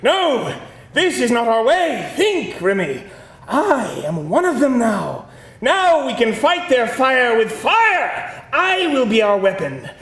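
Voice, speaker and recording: Melodramatic vampire played by an actor at a table read, slow and grandiose, echoey room. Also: mid-range voice, big pitch swings, a bit bright, exaggerated voice. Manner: theatrical, grandiose declaiming. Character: melodramatic, grandiose man turned vampire, heroic and self-pitying. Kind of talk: live screenplay table read. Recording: echoey live room recording.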